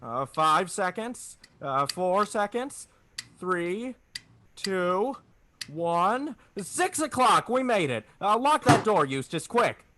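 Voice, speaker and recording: Small-town family man played by a man for 1940s-style radio drama: earnest, hesitant, animated, slightly high-pitched. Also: slow, background noise, exaggerated voice. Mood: excited. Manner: stammering, hesitant, folksy.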